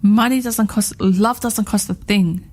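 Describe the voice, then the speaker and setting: A woman's voice: medium pitch, measured pace, darkish tone, a little hiss or hum. A woman in her thirties co-hosting a radio chat show, talking in a rambling, heartfelt way and repeating herself often. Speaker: radio co-host.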